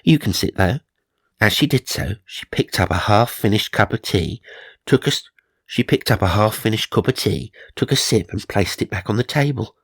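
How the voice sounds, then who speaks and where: A man's voice: on the low side, lively intonation, normal speed, a bit bright, breathy edge, dry recording. A man narrating supernatural magazine stories for comedy, mock-serious and dramatic, with wry asides. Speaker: narrator and host.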